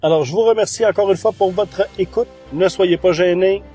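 A man's voice: high-pitched, brisk, mellow, faint music behind. A man giving an informal, enthusiastic podcast review with hesitant phrasing. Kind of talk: informal spoken review over music.

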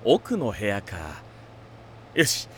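A man playing a genial, doting middle-aged demon king, gentlemanly and theatrical, in an anime audio drama. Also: medium pitch, huge pitch swings, slow pace, a little hiss or hum, exaggerated voice. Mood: doting, teasing.

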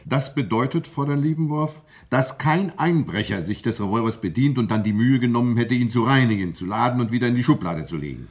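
An actor playing a stern, insistent coroner who questions a witness formally and deliberately in a vintage German radio crime drama. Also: male voice, mid-range voice, natural voice. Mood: accusing.

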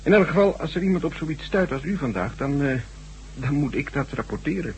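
An actor playing an obliging, principled chief computer programmer in a radio drama, measured, courteous and confiding. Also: male voice, on the high side, natural voice. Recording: noisy, humming old radio recording.

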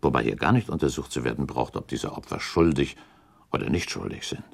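An actor playing a shrewd, calculating count in a radio drama, speaking in a deliberate, formal and pointed way. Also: male voice, extremely low pitch, lively intonation, vocal fry, slightly rough, full character voice. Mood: analytical, warning. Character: shrewd, calculating count advising a prince, diplomatic. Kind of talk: German radio drama acting.

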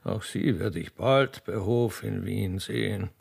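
A man voicing a grand, condescending Austrian emperor who speaks in a stately, gracious, drawn-out way. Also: on the low side, vocal fry, darkish tone, husky, full character voice. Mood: gracious, flirtatious.

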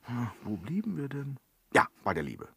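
An actor playing a brash, boastful manservant in a comic period radio play, flirting with theatrical swagger. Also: male voice, medium pitch, wildly swooping pitch, normal speed, vocal fry, breathy edge, heavily acted. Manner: swaggering, theatrical, with evasive hesitations. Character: brash, boastful male servant, flirting evasively.

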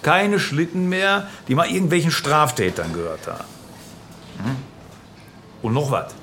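An actor playing a jovial, domineering small-town power broker in a radio drama, blunt, folksy and patronising. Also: male voice, on the high side, lively intonation, unhurried, a bit bright, a little hiss or hum. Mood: firm, patronising. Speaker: local political fixer. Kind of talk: naturalistic radio drama acting.

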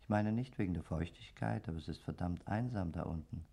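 A man's voice: low voice, a little fast, gravelly, warm tone, husky, full character voice. An actor playing the polite, sardonic ghost of a murdered man in a radio play, chatty and mocking. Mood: polite, bored. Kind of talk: German radio play acting.

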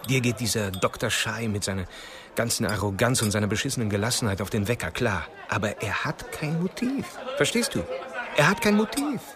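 A man's voice: fairly low pitch, big pitch swings, fairly quick, crowd noise. A man playing a blunt, exasperated police detective, coarse and emphatic, in a radio crime drama.

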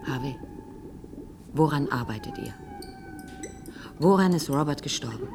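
A wry, deep-voiced woman scientist. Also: huge pitch swings, a little slow, noisy background, exaggerated voice. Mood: probing, uneasy. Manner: wry, teasing, insistent questioning. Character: probing, teasing female marine biologist. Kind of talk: 1980s radio drama acting.

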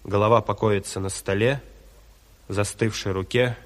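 A man's voice: lowish voice, fairly even intonation, normal speed. A man narrating scene directions for a radio play in a scripted style. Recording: reverberant 1970s radio play.

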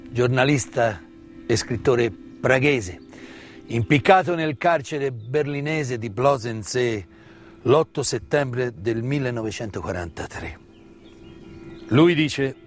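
Solemn stage monologue, man over music. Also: fairly high pitch. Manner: solemn, emphatic, rhetorical phrasing with deliberate pauses. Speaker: stage actor performing his own monologue. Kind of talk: dramatic theatrical monologue, solemn commemorative storytelling. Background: live musical accompaniment.

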